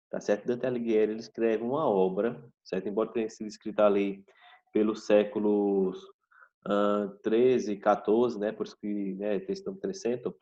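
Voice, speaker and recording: A man teaching a history class over a video call, explaining slowly and checking in with "tá certo?" after each point. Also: on the low side, a little mellow. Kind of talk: online class lecture. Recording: dull video call audio.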